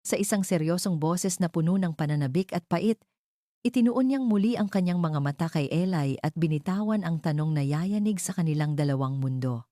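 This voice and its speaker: A woman's voice: lowish voice, animated, normal speed, warm tone, no room sound, studio quality. A woman narrating a romance story in a scripted, dramatic storytelling style.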